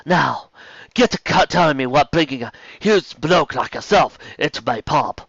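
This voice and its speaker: A man voicing a gruff female bartender mare with a put-on Cockney accent, clipped words slurred together. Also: on the high side, expressive, moderate pace, fairly bright tone, no room sound, muffled recording, heavily acted. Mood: brusque.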